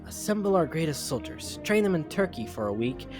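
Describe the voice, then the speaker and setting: A man's voice: a little high, sing-song, fairly quick, over music, pitched above the performer's own voice. Man playing a breezy regal pony princess, lilting. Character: regal female pony princess, ruler commanding her soldiers.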